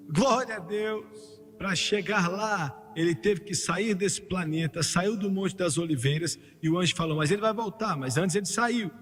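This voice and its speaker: Fervent male preacher, high voice, long hall reverb, soft music under the sermon. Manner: emphatic preaching that climbs to fervent, shouted peaks, with joking asides. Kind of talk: sermon, voiced over in translation.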